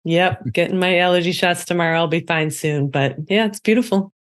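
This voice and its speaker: An American woman co-hosts a podcast in a relaxed, friendly way, laughing easily and offering short affirmations. Her voice sits a little low for a woman. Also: a little fast. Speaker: podcast co-host. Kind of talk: casual chat.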